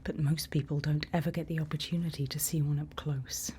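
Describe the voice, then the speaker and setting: A woman performing a whispered ASMR roleplay as a calm night-watch guide, breathy, instructive and reassuring, close to the microphone. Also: low voice, brisk. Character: calm female night-watch guide in a dark monster-filled forest. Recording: faint background ambient noise.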